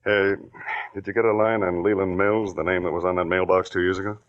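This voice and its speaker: A man playing a smooth-talking crook. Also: sits low, flat delivery, brisk, close to an everyday voice. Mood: scheming. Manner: smooth, coaxing. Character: scheming male ex-convict bank robber. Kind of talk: 1940s radio acting. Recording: dull old radio broadcast.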